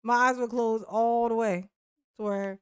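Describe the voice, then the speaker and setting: A woman's voice: on the high side, fairly even intonation, measured pace, clean tone, dry recording. A woman in her forties chatting casually on a live stream, speaking bluntly and profanely with frequent restarts and laughs. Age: 40s.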